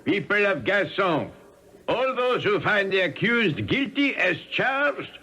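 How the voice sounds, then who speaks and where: An actor playing a stern French village leader in a 1970s radio drama, speaking formally and solemnly. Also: male voice, high-pitched, big pitch swings, slow, bright, forceful tone, exaggerated voice. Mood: solemn, resolute. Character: stern French village leader presiding over wartime trial.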